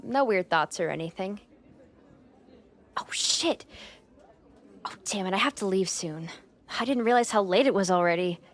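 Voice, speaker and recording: A woman's voice: medium pitch, expressive, normal speed, pauses often, lightly acted. Shy, flustered young woman, acted by a woman. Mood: flustered, grateful. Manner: hesitant, self-conscious rambling with nervous giggles. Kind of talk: roleplay ASMR monologue spoken to the listener.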